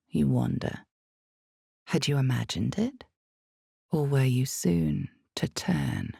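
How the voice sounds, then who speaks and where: A very low-pitched female narrator of a dark fantasy game, with a heavily gravelled, creaking voice and a slow pace. She is solemn and brooding, drawing out the suspense. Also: no echo, studio quality, full character voice. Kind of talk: scripted dramatic second-person fantasy game narration.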